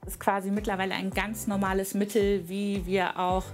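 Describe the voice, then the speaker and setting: A woman's voice: mid-range voice, little pitch movement, moderate pace, soft background music. A woman giving casual explanations in an interview as a company expert.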